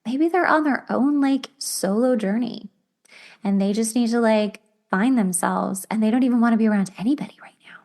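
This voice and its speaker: A woman's voice: a little high, moderate pace, darkish tone. A woman hosting a podcast, explaining self-help ideas in a casual, conversational way with imagined everyday examples. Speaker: podcast host.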